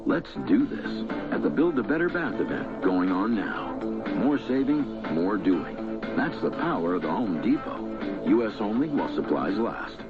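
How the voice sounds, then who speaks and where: A man's voice: on the low side, big pitch swings, a little slow, phone-quality sound. An American man reading a scripted retail radio commercial as a voice-over. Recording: thin band-limited radio ad over loud music.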